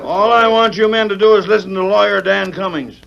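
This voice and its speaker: High-voiced folksy frontier sheriff. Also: male voice, a little fast, vocal fry, fairly bright tone. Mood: exasperated, bossy. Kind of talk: 1940s radio western acting. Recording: dull 1940s radio recording, crowd behind.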